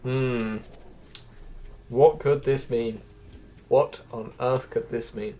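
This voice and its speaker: A man's voice: medium pitch, fairly even intonation, takes it slowly, mellow. Man doing casual gaming commentary. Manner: addresses viewers as folks, thinks aloud. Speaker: gaming video host. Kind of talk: casual gameplay commentary and quest walkthrough.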